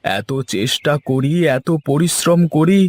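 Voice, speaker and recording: A man's voice: a little high, animated, unhurried, darkish tone, no echo. A man voicing a poor, earnest village labourer with a pleading manner in a cartoon folk tale. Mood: plaintive. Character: poor, hapless, eager village labourer husband.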